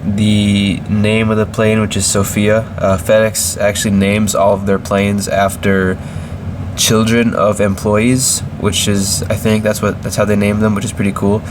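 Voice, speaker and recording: A man's voice: fairly low pitch, flat delivery, a little slow, noisy background. A man with a slight cold reviewing a model airplane in a casual, hesitant way, with many ums and restarts.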